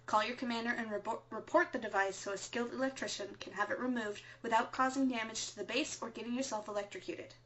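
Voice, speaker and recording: A woman acts out a resistance radio co-host in an audio drama. The voice is fairly high, with a measured, matter-of-fact delivery. The recording is slightly dull and lo-fi. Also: steady tone, a bit bright, natural voice. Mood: instructive. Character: matter-of-fact female resistance co-host, mild but testy. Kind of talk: amateur audio drama, scripted instructional broadcast.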